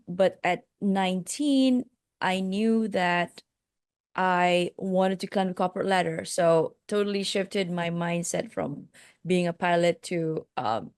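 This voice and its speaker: A woman's voice: fairly low pitch, slow pace, a little mellow, clean tone, no echo, clean studio sound. A woman from Malaysia with a Malaysian accent, a life coach telling her life story as a podcast guest, reflective and hesitant with many fillers.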